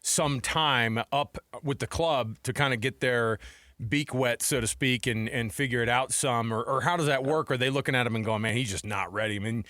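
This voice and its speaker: A man with an American accent and a bright, forceful voice, close and dry in the sound. He co-hosts sports talk radio with casual banter, rambling through a thought and rewording it several ways. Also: mid-range voice, moderate pace, no room sound. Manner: informal, rambling, rephrases questions.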